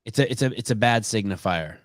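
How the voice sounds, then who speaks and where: A man hosting a casual interview podcast, a native Armenian speaker, talking in a halting way with repeated phrases and restarts. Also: lowish voice, no echo, clean studio sound. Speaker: podcast host.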